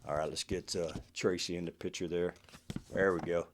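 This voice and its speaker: A man's voice: low-pitched, flat delivery, moderate pace, background noise. A man talking casually to camera as the host of a collection show, in a laid-back, conversational way with "man" and "you know" sprinkled in.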